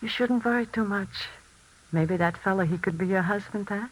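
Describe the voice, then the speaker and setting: A woman's voice: mid-range voice, moderate pace, natural voice. An actress playing a motherly, fretful middle-aged neighbour in a 1940s radio drama, homely and coaxing. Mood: motherly, fussing. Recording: slightly noisy 1940s radio recording.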